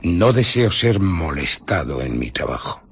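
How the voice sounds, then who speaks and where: An actor playing a secretive, bandaged scientist who demands solitude, speaking tersely and imperiously in for a radio drama. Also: male voice, low voice, big pitch swings, a little slow, slight background noise. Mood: guarded, stern. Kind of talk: Spanish radio drama acting.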